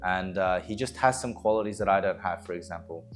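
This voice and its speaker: Low-voiced man, music behind. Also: a little fast. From Australia. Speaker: esports coach. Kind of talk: prepared team announcement to fans.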